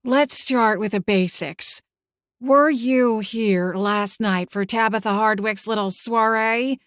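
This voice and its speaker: A woman narrator puts on an older aunt for an audiobook. She is eccentric and pushy, with a raised, brisk, demanding delivery. The sound is close and dry. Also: on the high side, no echo, pitched above the performer's own voice. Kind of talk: audiobook character acting.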